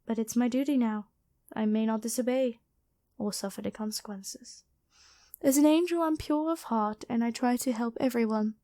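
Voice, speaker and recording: Gentle, mellow angel healer played by a woman. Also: a little high, close to an everyday voice. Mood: weary, sincere. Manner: gentle, soothing speaking to one listener. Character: gentle, dutiful angel, former human medic, caring. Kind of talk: ASMR roleplay.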